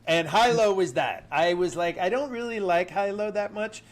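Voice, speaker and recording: A man co-hosting a podcast in casual banter, with a nasal, stuffed-up voice, chatty and quick to laugh. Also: high-pitched. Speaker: podcast co-host.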